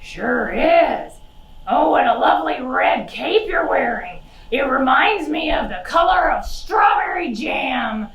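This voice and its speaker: A woman's voice: high voice, expressive, slow pace, creaky, a crowd in the background, full character voice. A woman voicing a sly, hungry, mock-polite wolf with a folksy, melodramatic manner in a children's puppet show. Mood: sly, charming. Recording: roomy, distant microphone.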